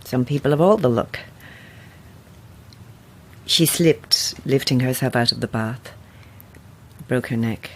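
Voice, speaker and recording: A woman's voice: a bass voice, slow pace, long pauses, heavily acted. An actress playing a sceptical female horror novelist, guarded, polite and easily rattled, in a naturalistic audio drama.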